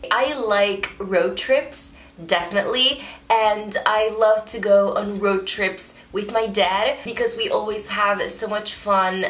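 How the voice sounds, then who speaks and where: A young woman in her twenties, a native Italian speaker with an Italian accent, chatting casually as she answers viewer questions in a vlog. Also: mid-range voice. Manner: chatty, animated, gestures while talking. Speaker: vlogger. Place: small bedroom, indoors. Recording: roomy bedroom echo.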